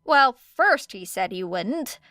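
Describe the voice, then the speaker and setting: A woman's voice: fairly high pitch, very animated, slow pace, pushed and bright, dry recording, clean studio sound, full character voice. Woman as a guarded, casual teenage girl. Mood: matter-of-fact. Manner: casual, evasive, understated. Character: guarded, apologetic teenage girl hero student.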